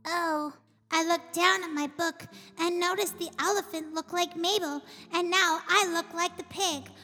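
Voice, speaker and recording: A performer voicing a young boy pig in a children's show, slowly sounding out letters while he learns to read. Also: extremely high pitch, steady tone, sharp tone, soft background music, full character voice. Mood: apologetic. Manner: slow, sounding out letters one by one. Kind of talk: children's educational puppet show.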